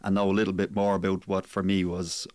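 A man's voice: deep voice, level tone, fast talker, a little mellow, no room sound. A man, a sports journalist and author, answering interview questions thoughtfully with plenty of hedging fillers. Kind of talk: radio interview guest.

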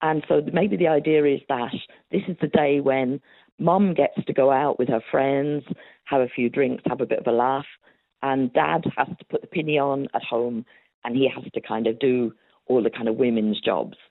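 A woman's voice: extremely low pitch, fairly even intonation, moderate pace, dry recording. A woman historian answering interview questions in a chatty storytelling way, laughing often and using plenty of fillers. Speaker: historian guest. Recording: tinny phone-like line.

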